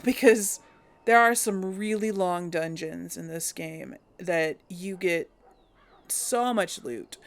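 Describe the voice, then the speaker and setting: A woman's voice: fairly low pitch, a bit bright. A woman chatting casually while she plays a role-playing game, thinking aloud and laughing often. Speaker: game role-play host. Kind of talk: casual let's-play commentary.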